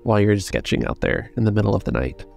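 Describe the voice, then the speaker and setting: A man's voice: fairly low pitch, animated, fast, creaky, darkish tone, soft background music. A man acting as game master, narrating scenes and refereeing a tabletop role-playing game.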